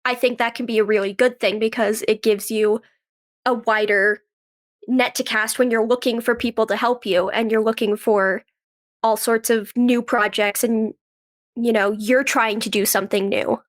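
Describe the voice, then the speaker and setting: Higher-pitched woman in an earnest interview. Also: fairly even intonation, moderate pace. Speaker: podcast guest, actress and writer. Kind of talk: conversational interview.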